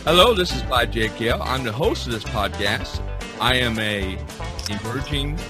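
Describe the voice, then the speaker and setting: A man's voice: mid-range voice, lively intonation, normal speed, over music. A man hosting an art podcast, talking loosely with many fillers and restarts. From United States. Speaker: podcast host. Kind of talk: casual podcast talk.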